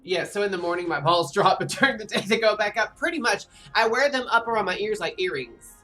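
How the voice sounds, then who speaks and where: A man in his thirties with a light, high voice, telling stories in animated, chatty gameplay talk. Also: quick pace. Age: 30s. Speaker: streamer. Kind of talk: casual gameplay chat. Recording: voice a bit off-mic with faint game music.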